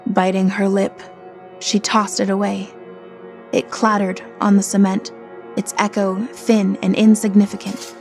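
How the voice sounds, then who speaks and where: A woman's voice: medium pitch, monotone, gravelly, a little mellow. A woman narrating a scripted horror story in a dramatic, suspenseful manner. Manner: slow, dramatic, suspenseful delivery. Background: music.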